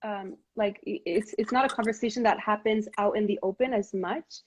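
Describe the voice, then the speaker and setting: A woman's voice: medium pitch, fairly even intonation, moderate pace, husky, muffled recording. Earnest woman hosting a casual live chat. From Montreal, Canada. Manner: earnest, many fillers and restarts. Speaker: host of a live video chat. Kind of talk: casual heartfelt conversation. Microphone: live stream device mic.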